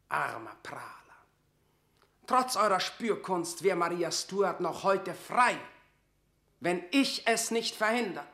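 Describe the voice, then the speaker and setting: Stern, calculating courtier for classical radio drama: high, cutting voice, declamatory and proud, roomy sound. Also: male voice, exaggerated voice. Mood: scornful. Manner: declamatory, clipped, forceful. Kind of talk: classical German stage-verse radio drama.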